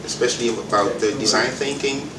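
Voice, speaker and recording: A man, a bootcamp graduate, speaking in a hesitant, halting way. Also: mid-range voice. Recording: echoey room, distant mic, background noise. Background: ambient noise.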